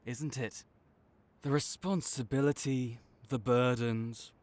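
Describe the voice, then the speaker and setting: A man's voice: mid-range voice, a little mellow. A man acting a cocky, teasing but secretly caring supervillain rival in a dramatic audio roleplay, bantering and exasperated. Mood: smug, coaxing. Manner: bantering, exasperated, faltering into awkward concern.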